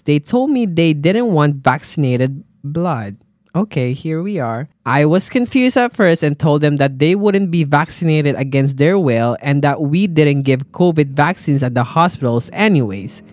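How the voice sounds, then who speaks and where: A man hosting a podcast, reading listener stories aloud and reacting with animated exclamations and frequent laughter. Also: fairly high pitch, dry recording, studio quality. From Philippines. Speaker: podcast host, nurse.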